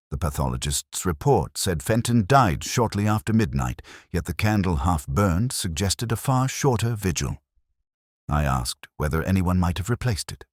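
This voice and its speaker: A man playing a melancholy, literary police detective, recounting a case in a measured, solemn, reflective way as scripted audio mystery narration. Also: deep voice, breathy edge, no room sound, clean studio sound, exaggerated voice.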